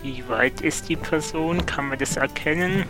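A man's voice: on the high side, moderate pace, music in the background. A man improvising as a player in an audio role-play, describing what he does. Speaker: guest player, listener of the show.

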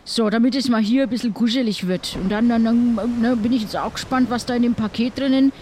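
A man's voice: extremely high pitch, a little fast, a little mellow, slight background noise. Man playing a chatty southern German pirate. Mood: bossy, indignant. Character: cheeky, chatty male pirate, easily indignant. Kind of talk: improvised audio play.

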